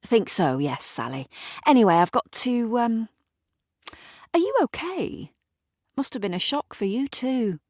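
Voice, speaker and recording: A woman voicing a brisk, guarded woman who hurries past a neighbour with evasive replies. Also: a little high, sing-song, darkish tone, no room sound, clean studio sound, voice pushed up.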